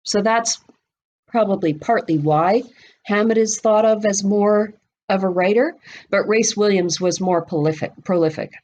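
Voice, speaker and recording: A woman's voice: medium pitch, a little slow, a little mellow, slight background noise. Woman explaining as an expert guest. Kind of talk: podcast interview, explaining literary history.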